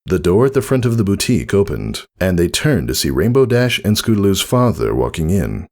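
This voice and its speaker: Low-voiced man narrating a story. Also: big pitch swings, a little fast, darkish tone. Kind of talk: scripted fan fiction narration.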